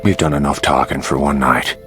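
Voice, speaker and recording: An actor playing a brash, foul-mouthed Irish race car driver in a video game, with a gruff, cocky manner. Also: male voice, extremely low pitch, steady tone, brisk. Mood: wry, flirtatious. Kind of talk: video game cutscene acting. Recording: game audio with prominent music.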